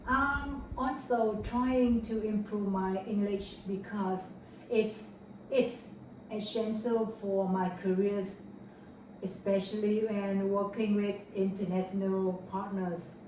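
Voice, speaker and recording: A woman's voice: medium pitch, steady tone, slow pace, darkish tone. A young woman from Vietnam, answering a mock speaking test in careful, rehearsed English with fillers and self-corrections. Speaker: test candidate. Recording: echoey room, far from mic, faint noise.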